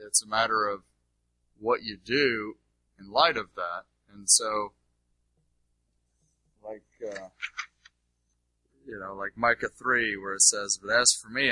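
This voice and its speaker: A man's voice: fairly low pitch, bright, forceful tone, no room sound, low-quality recording. A man answering an audience question as a Bible teacher, unscripted and emphatic, repeating phrases to drive points home.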